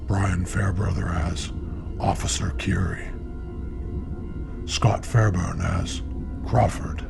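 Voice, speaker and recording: Deep voiced guy announcing a radio play, slow, loud spooky music behind. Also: gravelly. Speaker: announcer reading cast credits. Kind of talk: scripted radio drama introduction.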